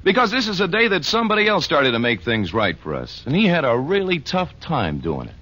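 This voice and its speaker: On a muffled old radio recording, a cheerful working-stiff nephew. His husky, fairly high voice leaps around wildly as he pleads in earnest slang. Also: huge pitch swings, a little fast, pushed and bright, full character voice. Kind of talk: 1940s radio acting.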